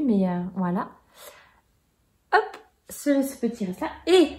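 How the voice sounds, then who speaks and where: A woman's voice: medium pitch, darkish tone. A woman in her forties chatting casually to camera about knitting at home, hesitant, with many fillers and small laughs. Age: 40s. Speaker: knitting vlogger.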